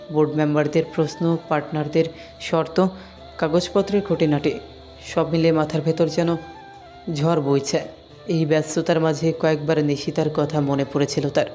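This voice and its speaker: Even-toned story narrator over music. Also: mid-range voice. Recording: music under the voice.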